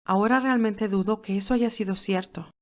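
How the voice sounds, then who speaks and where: A woman's voice: medium pitch, fairly quick, close to an everyday voice. A woman voicing an emotional, defensive young nurse in acted audiobook dialogue, earnest and emphatic. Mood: defensive, hurt.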